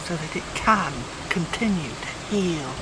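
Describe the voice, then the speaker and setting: A woman reading a personal essay aloud as scripted narration, with measured, deliberate phrasing. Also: lowish voice, expressive, very noisy. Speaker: volunteer reader.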